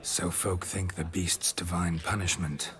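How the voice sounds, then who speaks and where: Casting: dry, unflappable game monster slayer, lower register, clipped sentences. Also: male voice, flat delivery, a little slow. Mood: inquiring. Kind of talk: video game dialogue acting.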